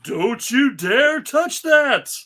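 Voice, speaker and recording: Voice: high-pitched, big pitch swings, drawn-out words, pushed and bright, voice pushed up, full character voice. A performer voicing a jolly, kindly, commanding old man in a hearty, emphatic cartoon table read. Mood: stern warning.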